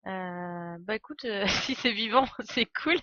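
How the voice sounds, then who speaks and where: A woman's voice: mid-range voice, slow, sharp tone, no echo, dull audio. A woman doing casual live video game commentary, playful and joking, with slangy talk. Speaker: game streamer. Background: faint video game music and dialogue.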